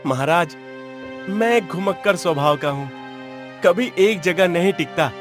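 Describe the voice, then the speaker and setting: A humble wandering flutist, played by a man, with a high-pitched voice. He talks deferentially and formally, a loyal subject in a cartoon folk tale. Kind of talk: Hindi cartoon story dialogue.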